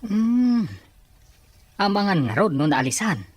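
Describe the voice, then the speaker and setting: A man's voice: high voice, very animated, slow, no room sound, exaggerated voice. A man playing a respectful, concerned aide in an audio drama.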